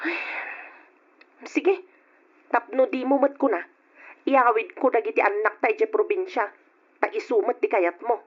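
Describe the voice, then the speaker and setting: A frustrated Ilocano wife and mother, insistent and high-voiced, as a woman plays her. Her voice comes through a dull, lo-fi old radio recording. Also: unhurried, long pauses.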